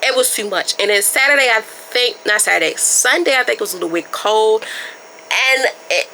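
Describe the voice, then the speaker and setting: Young woman, solo podcast host, casual and chatty, slightly congested, crisp bright tone. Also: a little high, lively intonation, fairly quick, slight background noise, rough recording. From Baltimore area. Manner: chatty, loose and informal, addresses listeners as y'all. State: stuffed up from a starting cold.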